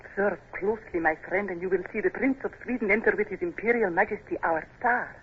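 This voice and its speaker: A woman's voice: mid-range voice, fast, natural voice. Woman acting gracious Russian countess; formal yet teasing; thin, phone-like vintage radio sound with hiss. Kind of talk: old-time radio drama acting. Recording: thin, noisy old radio recording.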